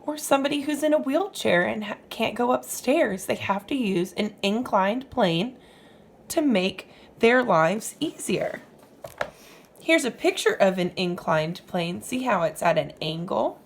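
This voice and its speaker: A woman's voice: mid-range voice, expressive, unhurried, creaky, a little hiss or hum. A woman reading aloud to young children as a teacher would, explaining as she goes and putting questions to the listener. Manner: instructive, asks the listener questions.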